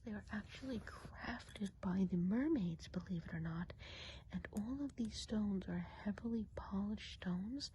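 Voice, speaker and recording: A woman playing a kindly, chatty witch of the woods in a whispered ASMR role play, speaking gently and without hurry in a soft, breathy whisper. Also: medium pitch, a little hiss or hum, close to an everyday voice, low-quality recording. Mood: admiring. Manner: gentle, unhurried, chatty, hesitant asides.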